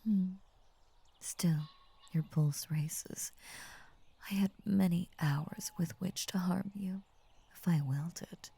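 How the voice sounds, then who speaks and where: A woman voicing a tender, possessive lamia in an intimate ASMR roleplay, whispering slowly and soothingly with formal, coaxing words. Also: on the low side, dark tone, full character voice. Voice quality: breathy, whispery. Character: possessive, tender female lamia, half snake, doting and unnerving.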